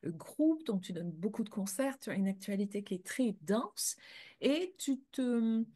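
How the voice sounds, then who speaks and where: A woman's voice: medium pitch, expressive, a little slow, dark tone, husky. A woman hosting an interview in a friendly, chatty way, with many fillers. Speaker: host.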